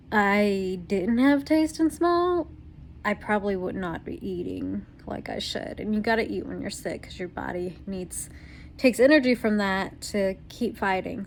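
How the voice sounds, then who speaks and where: Young woman, tired stuffy voice, middle pitch, rambling video diary, lots of ums, faint background noise. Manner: rambling, with frequent ums and small laughs. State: tired, congested voice from covid. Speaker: vlogger.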